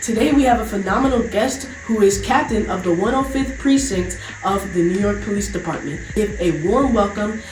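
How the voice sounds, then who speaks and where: A woman's voice: mid-range voice, a little mellow, reverberant, slight background noise, poor audio. A young woman hosting a podcast interview, upbeat and reactive. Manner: upbeat, reactive, quick to laugh. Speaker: podcast host.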